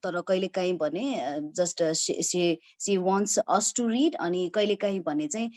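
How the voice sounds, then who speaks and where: A woman with a Nepali accent asking a question as an audience member, polite and halting. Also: fairly low pitch, darkish tone. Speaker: audience questioner, parent and teacher.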